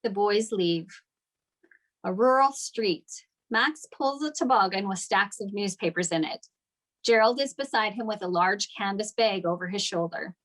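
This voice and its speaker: A woman's voice: mid-range voice, normal speed, a bit bright, rough recording. A woman narrating the stage directions of a screenplay at a table read.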